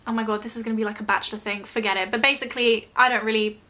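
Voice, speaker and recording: A woman's voice: fairly high pitch, steady tone, very fast, sharp tone. A young British woman chatting casually in a question-and-answer vlog, rambling a little and self-deprecating. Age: young adult. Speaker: YouTube vlogger.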